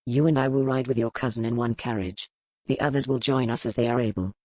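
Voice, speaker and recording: Male reader as self-assured Regency gentleman, courtly manners, medium pitch, dry sound, for romance audiobook. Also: steady tone, quick pace, no room sound, natural voice. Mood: smug, insistent. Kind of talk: scripted dialogue in a historical romance audiobook.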